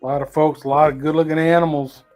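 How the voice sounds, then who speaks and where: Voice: medium pitch, little pitch movement, quick pace, darkish tone, soft background music. A co-host on a casual outdoors livestream who mostly chimes in with short reactions and agreement.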